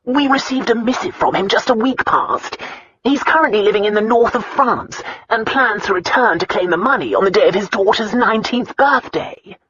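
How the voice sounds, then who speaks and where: A male solicitor played by a woman reader in a storybook drama, formal and measured. He lays things out in a matter-of-fact manner. The sound is slightly dull. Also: medium pitch, a bit bright, breathy edge, no echo, pitched above the performer's own voice. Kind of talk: audiobook character acting.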